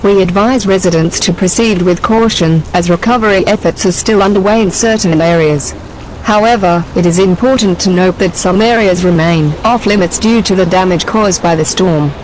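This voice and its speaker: A woman's voice: fairly low pitch, normal speed, a little mellow, a little hiss or hum, rough recording. A woman reading a scripted official public safety announcement in a formal manner. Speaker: civil defence announcer.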